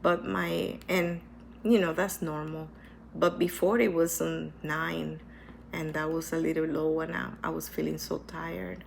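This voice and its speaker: Woman, low and gravelly, stuffy with a cold; unhurried, earnest personal vlog, hesitant delivery. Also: level tone, a little hiss or hum. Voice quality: hesitant, with many restarts and repetitions. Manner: earnest, reflective, speaks slowly. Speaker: vlogger sharing her cancer experience. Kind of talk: casual personal talk to camera.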